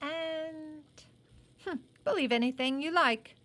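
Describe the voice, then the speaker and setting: A woman voicing a pert young girl in a storybook, with a shrill, drawn-out, sing-song delivery. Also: a bit bright. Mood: haughty apology. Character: pert young girl, taunting, then grandly apologetic.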